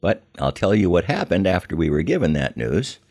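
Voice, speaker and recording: A man's voice: deep voice, big pitch swings, brisk, a little mellow. An older man hosting a podcast, telling personal stories in a conversational way with wry, self-deprecating humor. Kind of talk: conversational first-person storytelling.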